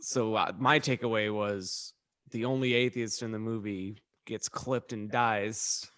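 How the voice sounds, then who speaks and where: Lower-voiced man telling stories casually. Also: fairly even intonation, a little slow, fairly bright tone, no room sound. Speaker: podcast co-host. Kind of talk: casual comedic chat, rambling anecdote.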